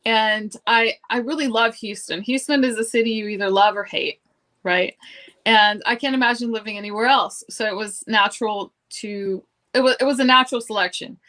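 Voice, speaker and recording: Chatty woman guest, slightly high voice. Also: steady tone, normal speed, pushed and bright. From Cuba. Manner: chatty, self-deprecating, acts out her own thoughts. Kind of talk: casual interview chat.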